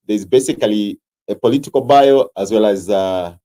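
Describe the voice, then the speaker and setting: A Zambian man with a Zambian accent, a political candidate answering interview questions in a measured, earnest way with frequent hesitations. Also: fairly low pitch, mellow, low-quality recording.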